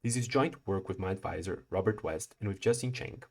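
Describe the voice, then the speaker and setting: Lowish-voiced young Brazilian man presenting. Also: vocal fry. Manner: measured, explanatory, with occasional restarts. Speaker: PhD student presenting research. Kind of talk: prepared conference talk.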